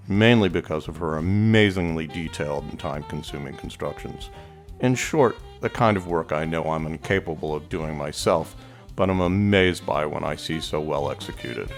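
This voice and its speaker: A man hosting a podcast, reading scripted narration in a measured, admiring way. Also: low voice. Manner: warm, admiring, measured delivery. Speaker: podcast host.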